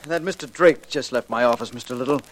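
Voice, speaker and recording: An actor playing an earnest, deferential young office employee in 1940s radio drama. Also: male voice, medium pitch, fast, a bit bright, natural voice. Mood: earnest, uneasy. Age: young adult. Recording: faintly noisy old radio recording.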